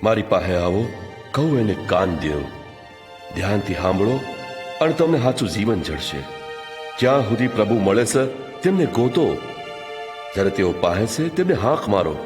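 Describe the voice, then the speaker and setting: An actor playing a solemn, authoritative teacher and prophet in a scripture audio drama, speaking measured Kathiyawadi Gujarati. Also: male voice, on the low side, very animated. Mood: earnest. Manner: measured, declamatory. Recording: music behind the voice.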